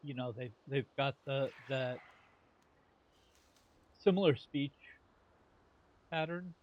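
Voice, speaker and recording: A man giving casual let's play commentary, wry and halting as he thinks aloud. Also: mid-range voice, highs cut off. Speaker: gaming video creator.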